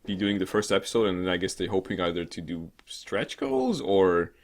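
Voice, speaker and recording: A man co-hosting a gaming show in casual, unscripted chat, rambling and halting, with a joking way of talking. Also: low-pitched, huge pitch swings. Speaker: co-host of a weekly gaming news show.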